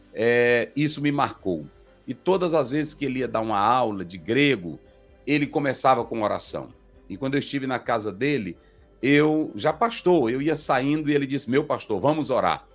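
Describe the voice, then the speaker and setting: A man, a pastor, hosting a live online talk and introducing his guest with earnest respect. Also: mid-range voice. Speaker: pastor and host.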